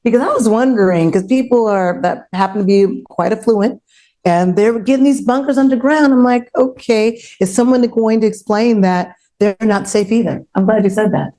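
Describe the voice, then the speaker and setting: A woman's voice: medium pitch, normal speed, dark tone. A woman hosting an online panel discussion in a conversational way. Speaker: host.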